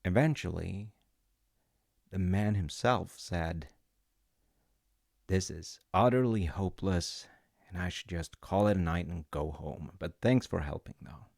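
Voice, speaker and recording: A man with a very deep, gravelly voice talks slowly and gently, like a dad telling a comforting story with self-mocking asides. The sound is dry and close to the mic. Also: dry recording. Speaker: audio roleplay storyteller playing a dad.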